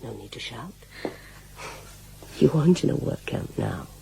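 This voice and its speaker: A woman's voice: extremely low pitch, sing-song, unhurried, creaky, dark tone, full character voice, rough recording. An actress playing a stern, domineering housekeeper in a radio drama, curt, commanding and menacing with her staff. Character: stern domineering housekeeper in charge of Nazi-era servants.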